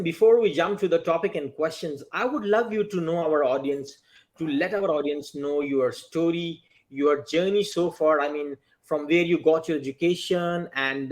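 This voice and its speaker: A man hosting an interview podcast, with formal, slightly non-native phrasing. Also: on the high side. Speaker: podcast host.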